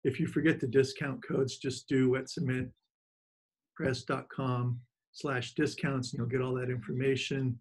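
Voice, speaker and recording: A man with a middle-range voice hosts an informal online gathering. He speaks haltingly and appreciatively, moving between thanks and practical announcements over a video call. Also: medium pitch, level tone. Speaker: host of an online book reading, press editor.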